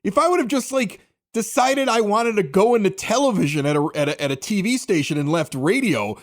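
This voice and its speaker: A man's voice: high voice, animated, a little fast. An American man hosting a podcast, talking sports in a casual, chatty, conversational way. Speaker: podcast host, former morning radio host.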